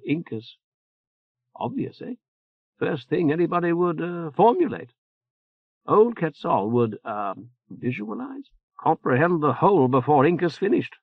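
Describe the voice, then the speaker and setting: A man's voice: a little high, no room sound, pitched above the performer's own voice, exaggerated voice. A man voicing a fretful older male churchman who stammers, hedges and tags lines with "eh?", in a dull, muffled recording. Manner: halting, full of "uh" and "eh?" tags. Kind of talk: audiobook character voice.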